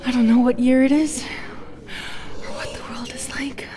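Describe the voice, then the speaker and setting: A woman playing a menacing, mocking female monster in an audio roleplay, whispering and taunting in a deliberate way. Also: high-pitched, steady tone, mellow, slight background noise, natural voice. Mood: angry, taunting. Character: menacing, mocking modern Medusa, undying lonely female monster. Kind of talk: intimate audio roleplay, dramatic monologue.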